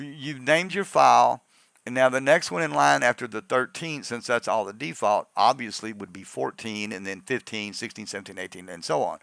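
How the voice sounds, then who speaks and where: A man walks through a software tutorial with a gravelly, creaky voice at a medium pitch. He explains informally and rambles a little from step to step. The sound is dry and close to the mic. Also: dry recording. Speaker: tutorial host. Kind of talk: casual software tutorial narration.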